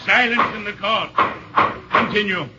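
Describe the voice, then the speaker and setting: An actor playing a stern judge giving official commands in a 1940s radio drama. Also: male voice, high voice, very noisy. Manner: loud, drawn-out official commands. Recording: dull 1940s radio recording.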